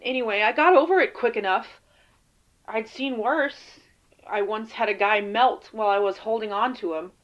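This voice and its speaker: A woman acts as a haunted field agent with a fairly high voice. She is weary and guarded, talking in halting, casual phrases as in an audio drama. The recording is a little dull. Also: natural voice. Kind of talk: audio drama interview acting.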